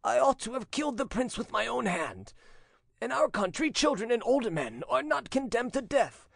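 An anguished old fisherman past eighty, as a male narrator acts him out, pleads with mournful tenderness in a dramatic audiobook. The voice is high and raspy, and it swings widely in pitch with heavy emphasis. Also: sing-song, measured pace, no echo, heavily acted. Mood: tearful, remorseful. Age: 80s. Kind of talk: dramatic audiobook character reading.

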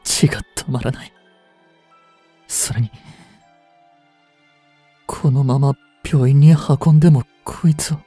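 A man acting a breathy, anguished young policeman. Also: mid-range voice, steady tone, dark tone, no echo, faint music behind. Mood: desperate, pleading. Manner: halting, emotional delivery with sighs and pauses. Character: anguished young male police officer, devoted boyfriend. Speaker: voice actor in a boyfriend audio drama. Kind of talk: dramatic Japanese situation voice acting.

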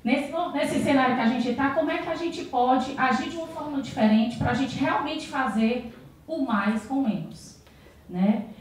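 A woman's voice: high voice, a little slow, a little hiss or hum. A woman in her thirties from Brazil giving a conference talk on stage with a handheld mic, often adding tag questions and restarting sentences. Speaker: conference speaker. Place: large auditorium stage with audience. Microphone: handheld microphone. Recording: very echoey hall, voice from far off.

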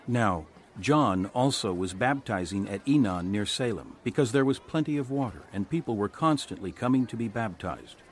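A man narrating scripture from a script in a measured storytelling manner. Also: medium pitch.

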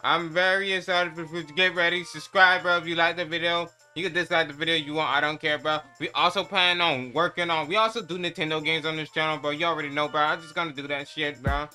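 A man's voice: a little high, steady tone, fast, hardly any pauses, sharp tone, clean tone, faint music behind. A man gives casual, hyped, slangy and profane reaction commentary. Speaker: reaction video host.

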